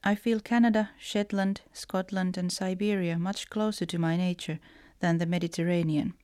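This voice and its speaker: Lowish Finnish-accented woman reading letters. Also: fairly even intonation, moderate pace, a little mellow, clear, resonant tone, no room sound, studio quality. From Finland. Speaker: writer and letter writer. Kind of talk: reading personal letters aloud.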